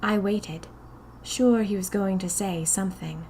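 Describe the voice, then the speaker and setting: A woman narrating scripted first-person fiction in a deliberate, dramatic manner. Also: mid-range voice, a little mellow, a little hiss or hum, low-quality recording.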